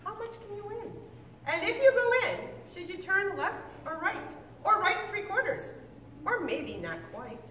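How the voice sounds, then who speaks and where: Expressive woman reading a storybook aloud. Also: a little slow, a little hiss or hum. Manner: expressive storybook reading with big pitch swings; stumbles and corrects herself. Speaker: reader. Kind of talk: reading a picture book aloud. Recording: echoey room, mic at a distance.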